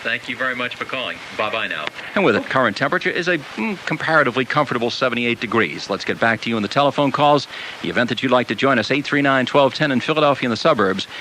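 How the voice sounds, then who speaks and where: A man's voice: medium pitch, lively intonation, fast talker, bright, forceful tone, low-quality recording. An American man hosting a live radio call-in show in a polished, courteous and rather formal way. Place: radio studio. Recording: hissy home cassette of radio broadcast.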